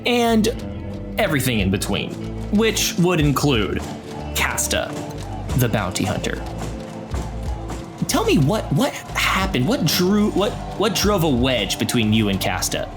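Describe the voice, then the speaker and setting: A man narrates a tabletop game as its game master. He is unhurried and very animated in intonation, pausing to improvise the scene, with music clearly underneath. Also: on the high side.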